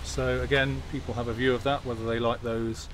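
A man giving a relaxed, hesitant walk-around commentary in an outdoor car park, filmed on a phone. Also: mid-range voice, brisk. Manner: relaxed, hesitant with many fillers. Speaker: classic car vlogger. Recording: outdoor phone video with clear background noise. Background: jet washer and outdoor noise.

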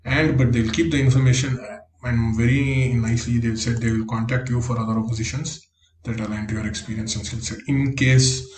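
A man talks through a screen-recorded tutorial, explaining each step plainly. His voice is mellow and fairly monotone. The room is echoey, with a faint hiss behind him. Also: mid-range voice, brisk. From India. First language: Konkani. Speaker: career coach.

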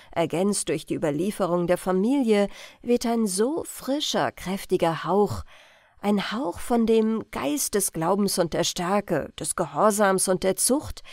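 A woman reading an old-fashioned family tale as an audiobook narrator, in carefully phrased, expressive storytelling. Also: mid-range voice, dry recording, clean studio sound. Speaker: audiobook reader.

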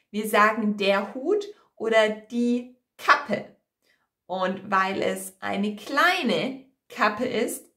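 A young woman teacher telling a story for language learners, deliberate and expressive, in a small room at home. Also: mid-range voice. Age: young adult. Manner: deliberate, clearly expressive, repeats simply. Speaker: German teacher. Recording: roomy indoor echo.

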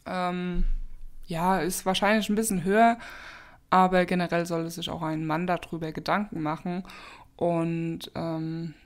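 Podcast host, woman, slightly sniffly from a cold, relaxed rambling advice. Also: on the low side. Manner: chatty, many fillers and restarts. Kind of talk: casual advice talk.

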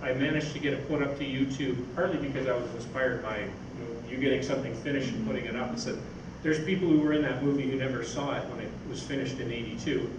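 A man's voice: mid-range voice, steady tone, quick pace, few pauses, far from the mic. Older man, rambling informal speaker, very echoey hall, noisy recording. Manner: rambling, anecdotal. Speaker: speaker, science fiction writer. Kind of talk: informal stage talk answering audience questions. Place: on stage in an event hall. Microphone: far camera microphone.

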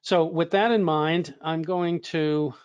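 A man moderating an online training webinar, slightly hoarse, talking in a relaxed, conversational way with fillers and restarts. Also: on the high side, slow, no echo, lo-fi sound.

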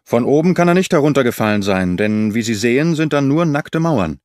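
A man's voice: mid-range voice, expressive, fairly quick, a little mellow, no echo, clean studio sound, natural voice. A man voicing a friendly old railway official in an audiobook, courteous and explanatory. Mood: helpful, factual. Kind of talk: audiobook character reading.

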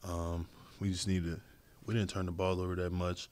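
Low, flat-voiced young man at a presser. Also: moderate pace, no echo. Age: young adult. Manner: low-key, even, hesitant with many fillers. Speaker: basketball player. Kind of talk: postgame press conference answers. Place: press room with logo backdrop. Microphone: desk mic on stand.

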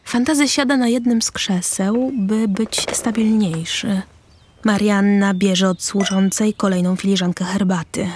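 A woman's voice: mid-range voice, fairly even intonation, unhurried, a little mellow, slight background noise. A woman reading scripted narration for an audio drama, describing the action as narrator. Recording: music under the voice. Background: music.

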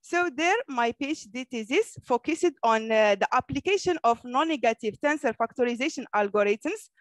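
Woman in her thirties, physics lecturer, slightly high, dry headset mic. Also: expressive, moderate pace, no echo. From Morocco. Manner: says "so yeah" often between points. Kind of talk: online seminar talk.

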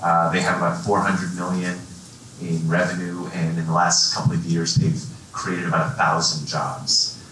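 A man's voice: sits low, little pitch movement, normal speed, bright, forceful tone, a little hiss or hum. A man from the East Coast, a nonprofit director, introducing himself on a panel with emphatic, self-mocking remarks. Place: large conference hall with audience. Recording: echoey hall from far off.

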